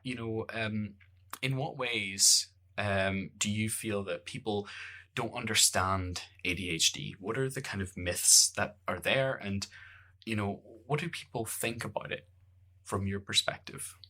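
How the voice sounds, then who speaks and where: A man's voice: on the low side, unhurried, sharp tone. A Northern Irish man hosting a podcast, chatting casually in a rambling, jokey way with lots of fillers. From Northern Ireland. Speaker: podcast host. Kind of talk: casual conversational interview.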